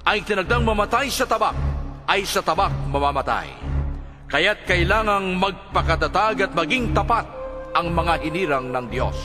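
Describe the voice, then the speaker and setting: A man playing a solemn prophetic voice, gravely declaiming a warning to the faithful in a Bible audio drama. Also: sits high, expressive, normal speed. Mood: grave exhortation. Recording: long cavernous reverb. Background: music.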